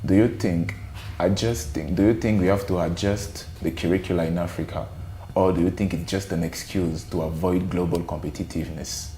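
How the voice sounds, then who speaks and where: A man's voice: sits low, steady tone, a little fast, dark tone, slight background noise. A man moderating a panel discussion, asking brief, plainspoken questions. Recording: echoey room.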